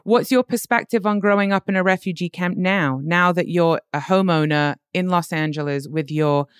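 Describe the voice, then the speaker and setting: A woman's voice: lowish voice, normal speed, clear, resonant tone, no echo, clean studio sound. A woman interviewing a guest, asking attentive, probing questions. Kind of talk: conversational interview.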